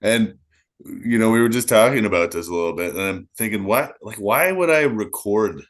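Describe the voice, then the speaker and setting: A man in his forties co-hosting a casual podcast, speaking in a fairly monotone way and poking fun at himself. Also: fairly low pitch, normal speed. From Canada. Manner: monotonous, self-deprecating. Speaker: podcast co-host. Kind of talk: casual chat.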